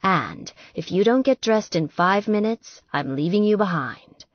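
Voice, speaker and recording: A woman voicing an affectionate, reserved man who teases gently, in audiobook dialogue. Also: mid-range voice, lively intonation, unhurried, no echo, muffled recording. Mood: teasing. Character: affectionate, teasing athletic man, reserved boyfriend.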